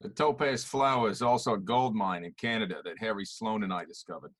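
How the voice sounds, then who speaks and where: A man's voice: fairly low pitch, a little fast, fairly bright tone, dry recording. A man playing a pointed, needling murder suspect in an amateur radio-style mystery.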